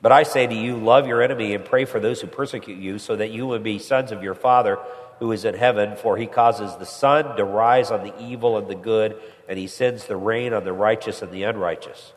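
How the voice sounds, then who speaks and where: A pastor preaching a sermon with a stuffy head cold, climbing higher and louder when he presses a point. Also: fairly quick. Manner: rises in pitch and volume when pressing a point. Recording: long hall reverb.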